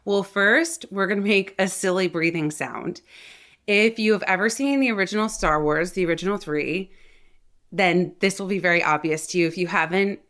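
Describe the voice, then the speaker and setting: A woman's voice: lowish voice, moderate pace, fairly bright tone. A woman speaking as a voice coach and host, explaining things to viewers in an articulate, conversational way. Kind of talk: instructional talk to viewers.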